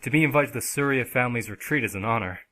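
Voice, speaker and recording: A man's voice: mid-range voice, racing, bright, forceful tone, close to an everyday voice. A man playing a sunny, polite young guest in an audio drama, friendly and courteous. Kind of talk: anime-style audio drama acting.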